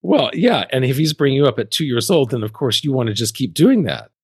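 Middle-aged podcast host, close dry sound. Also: male voice, medium pitch, big pitch swings, brisk, husky, no room sound. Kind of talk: podcast narration and interview.

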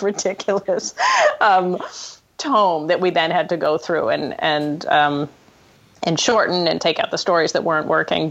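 A woman with a slightly low voice talks casually in an interview, in wry and self-deprecating storytelling mode. The audio is somewhat muffled and lo-fi. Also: fairly bright tone. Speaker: screenwriter guest. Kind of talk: casual conversational interview, telling stories. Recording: dull, lo-fi podcast audio.

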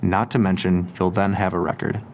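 An actor playing an unflappable, condescending male police detective in an audio drama, with a deliberate deadpan manner. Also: deep voice, slight background noise, natural voice. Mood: patronizing, dry.

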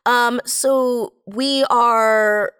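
A woman's voice: a little high, steady tone, very slow, a bit bright, clear, resonant tone, dry recording, studio quality. A woman talking casually as a guest, plugging audio dramas. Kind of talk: casual chat, plugging shows.

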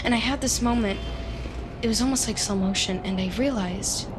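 A woman's voice: fairly high pitch, moderate pace, background noise, lightly acted. An actress playing an anxious, obsessive young woman who talks in a nervous, stammering way in an audio drama. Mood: scheming, dreamy. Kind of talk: audio fiction acting.

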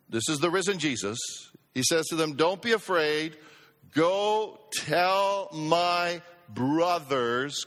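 A man's voice: fairly high pitch, fairly bright tone. A male pastor preaching a sermon, emphatic, calling out to the congregation for responses. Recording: long hall reverb.

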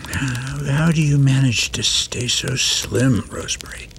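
An actor playing a blunt, wary middle-aged colonel who is defensive and easily flustered. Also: male voice, medium pitch, measured pace, a bit bright, a little hiss or hum. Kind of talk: audio drama acting.

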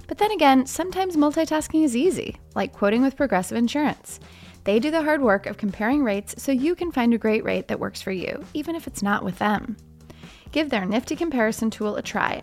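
Casting: female ad announcer, fairly high voice, scripted read over light music. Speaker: advertisement announcer.

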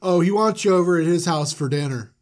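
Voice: mid-range voice, fairly quick, darkish tone, natural voice. A performer voicing a casual, bro-ish palace guard, slangy and matter-of-fact, in a comic Bible retelling.

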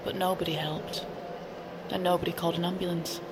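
Woman as a grave, low-voiced storyteller. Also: level tone, background noise, close to an everyday voice. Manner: measured, deliberate, solemn. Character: grave, poetic storyteller narrating a dark adventure. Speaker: narrator. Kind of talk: scripted narration in audio drama. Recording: loud music behind the narration.

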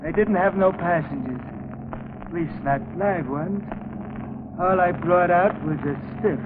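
A man's voice: sits high, a little slow. An actor playing a plain-spoken launch boatman in a 1940s radio mystery, blunt and colloquial. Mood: puzzled, blunt. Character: plain-spoken, matter-of-fact male river launch boatman. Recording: old 1940s radio broadcast, tinny, noisy.

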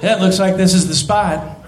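A man's voice: high voice, moderate pace, reverberant, heavy background noise. Laughing man telling a story. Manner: animated storyteller, laughs often, many fillers. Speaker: podcast co-host. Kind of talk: casual storytelling.

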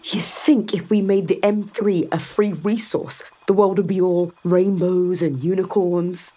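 A woman's voice: on the low side, fairly even intonation, a little slow, dark tone. A woman playing a powerful, calculating executive in a science fiction audio drama, sardonic and controlled as she persuades and explains. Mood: mocking, irritated.

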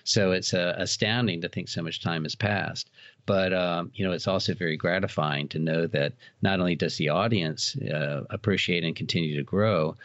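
A man in his sixties with an American accent and a low-pitched voice. He talks as an interview guest, telling stories and explaining things conversationally. The recording is dull and lacking in detail. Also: normal speed, fairly bright tone. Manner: reminiscing, explanatory. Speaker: podcast guest, haunted house operator. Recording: dull, roomy, echoey sound.

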